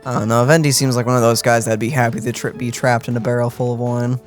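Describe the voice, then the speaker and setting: A woman's voice: a bass voice, little pitch movement, fast. A woman co-streaming a game with casual, dry banter. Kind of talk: casual banter over a playthrough. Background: soft game music.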